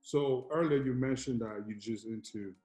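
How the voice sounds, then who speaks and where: A man's voice: mid-range voice, moderate pace, mellow, soft background music. Casual podcast host man in echoey room. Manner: casual, loose, slangy questions. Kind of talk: casual interview.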